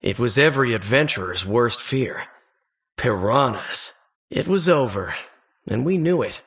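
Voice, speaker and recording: A man's voice: medium pitch, lively intonation, measured pace, a bit bright, husky, full character voice. A man playing an earnest adventurer who narrates childhood dungeon exploits for a video game in a suspenseful, wistful storybook manner. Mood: ominous.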